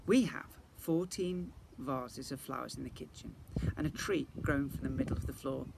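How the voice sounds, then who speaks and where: A man's voice: medium pitch, normal speed, creaky. A man narrating a children's storybook like an infant school teacher, expressively and directed at young listeners. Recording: faint background noise.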